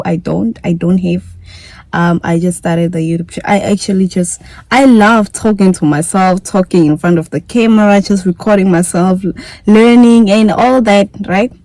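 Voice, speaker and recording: Young namibian girl vlogging, chatty, repeats stuff, mellow voice, dry sound. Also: medium pitch, no echo, poor audio. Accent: Namibian English. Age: young adult. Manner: chatty, repeats herself, giggly. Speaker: YouTuber. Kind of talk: casual vlog Q&A.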